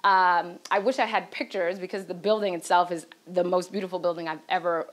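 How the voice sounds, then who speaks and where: A woman's voice: fairly low pitch, fairly even intonation, a little fast. A woman law professor on a panel, speaking to an audience in a lecture hall with many fillers and "right?" tags, picked up by a far camera.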